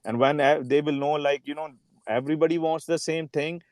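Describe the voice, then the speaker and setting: A man's voice: fairly high pitch, steady tone, brisk, a little mellow, dry recording. A man speaking casually and earnestly on a group call, repeating himself as he rallies others. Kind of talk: casual chat in a live group audio call.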